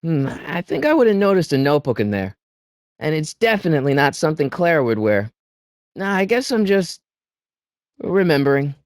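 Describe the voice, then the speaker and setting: An actor playing an excitable, good-hearted teenage boy in an adventure video game, with hesitant stammers and an incredulous way of questioning things. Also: a little high, moderate pace, no room sound, clean studio sound. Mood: musing, curious. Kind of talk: animated video game voice acting.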